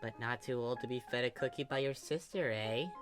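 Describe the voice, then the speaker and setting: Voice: mid-range voice, a little fast, lightly acted. A performer acts out a young woman, the big sister of a story, in a slightly raised voice. She is tender, playful and a little smug, with music behind her. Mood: proud, teasing.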